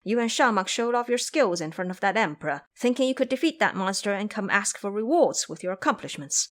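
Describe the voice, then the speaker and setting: A woman's voice: mid-range voice, expressive, a little fast, lightly acted. A woman voicing an earnest, pleading young male dragon in horse form for an audio drama. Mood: reproachful. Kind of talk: audio drama character acting.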